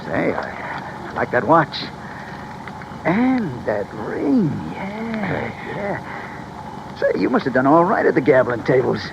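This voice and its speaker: A man's voice: a little high, big pitch swings, slow, creaky, pauses often, full character voice. An actor playing a sneering, menacing American gunman who barks orders in gangster slang, in an old-time radio crime drama. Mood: gloating. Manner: tough-talking gangster slang, barking orders. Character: menacing, sneering male gunman robbing his captives. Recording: noisy muffled vintage radio, car engine.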